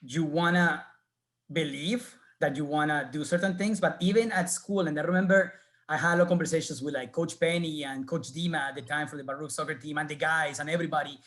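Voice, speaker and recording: A man's voice: on the high side, brisk, a bit bright. Colombian-accented man telling his career story. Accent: Colombian Spanish. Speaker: alumnus panelist. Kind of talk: webinar panel answer.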